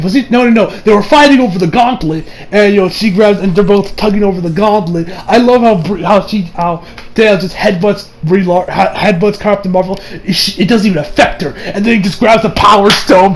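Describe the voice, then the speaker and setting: Young guy doing a gushy fan movie review, high voice, excitable and sweary, music in the back. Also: poor audio. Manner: excitable, gushing, swearing, often laughing. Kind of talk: casual unscripted fan review.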